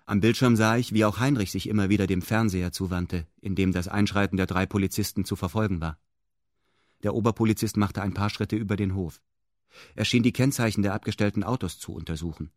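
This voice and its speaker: A man's voice: on the low side, fairly quick, darkish tone, dry recording, studio quality. A man narrating a radio drama in a formal, matter-of-fact, detached way. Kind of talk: scripted radio drama narration in first person.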